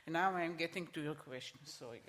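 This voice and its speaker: A middle-aged man speaking on a conference panel, emphatic and repeating his points, with halting restarts. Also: fairly high pitch, a bit bright. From Israel.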